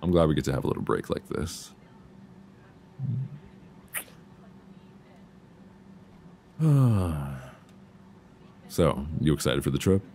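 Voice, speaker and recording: Very deep, gravelly, soothing boyfriend played by a man. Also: very animated, heavily acted. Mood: affectionate, relaxed. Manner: soft, intimate, unhurried, soothing. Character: affectionate, reassuring young boyfriend on vacation. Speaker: ASMR roleplay voice performer. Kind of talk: ASMR boyfriend roleplay, intimate casual chat. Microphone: close binaural microphone. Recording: faint background noise, binaural.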